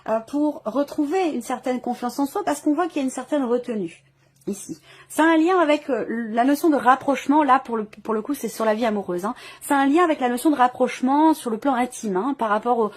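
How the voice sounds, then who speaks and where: A woman giving an improvised tarot reading as host, feeling her way through phrases and often rewording as she goes. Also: high voice. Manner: hesitant, searching phrasing with repeated rewording. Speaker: tarot reader hosting a video reading. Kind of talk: intuitive tarot reading, improvised spoken commentary.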